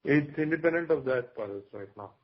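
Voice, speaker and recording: A man speaking as a company executive, explaining business matters on a conference call in a husky voice. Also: on the high side, lively intonation, brisk. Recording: dull, lo-fi conference call audio.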